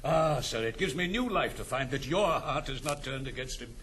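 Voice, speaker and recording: An actor playing a loyal, deferential household steward in a period stage comedy, speaking earnestly. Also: male voice, mid-range voice, lively intonation, normal speed, a bit bright, close to an everyday voice. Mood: grateful, hopeful. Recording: noisy 1960s studio album.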